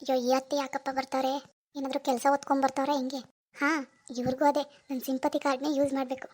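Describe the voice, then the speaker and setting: High-voiced, scheming young wife played by a woman. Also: flat delivery, fast talker, a little mellow, natural voice. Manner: sly muttering alone, whiny playacting to others. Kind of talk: amateur domestic drama acting.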